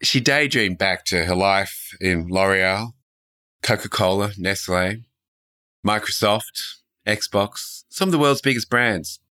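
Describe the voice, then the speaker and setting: A man's voice: lowish voice, takes it slowly, pushed and bright. A man hosting a podcast in a casual, playful way, chatting and improvising stories. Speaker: podcast host. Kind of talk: casual chat and improvised storytelling.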